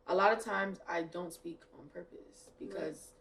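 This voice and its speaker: A woman co-hosting a podcast, speaking candidly in a casual chat with friends and often chiming in with quick agreement. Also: on the low side, fairly even intonation, vocal fry, fairly bright tone. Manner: quick affirming interjections, then open and candid. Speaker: podcast co-host. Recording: mic at a distance, faint noise.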